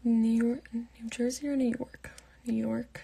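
A young woman in her late teens reading out fan comments and answering them in a soft, half-whispered voice, on a phone held at arm's length in her bedroom at night. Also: on the high side, steady tone, a little slow, creaky, breathy edge. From Pittsburgh, United States. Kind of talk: casual live Q&A chat.

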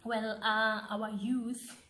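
A young woman with a South African accent speaking earnestly and deliberately, rephrasing as she gives motivational advice in an interview. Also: on the high side, flat delivery, pushed and bright. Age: young adult. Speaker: interviewed young entrepreneur, business owner. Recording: echoey, roomy sound.

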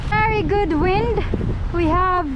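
A woman hosting a sailing vlog outdoors on a boat, excitable and given to loud exclamations. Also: very high-pitched, slow pace. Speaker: sailing vlogger host. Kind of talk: casual vlog narration on a boat. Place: outdoors on a sailboat at sea. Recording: wind noise on an outdoor mic. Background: wind and boat noise.

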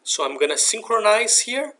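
A man narrating a software demonstration in a step-by-step, instructional way. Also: sits high, sing-song, moderate pace, rough recording. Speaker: software demo presenter. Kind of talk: scripted software tutorial narration. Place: screen recording voice-over.

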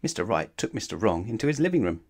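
Animated male children's storybook narrator. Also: fairly low pitch, fast talker, breathy edge. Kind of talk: expressive children's read-aloud.

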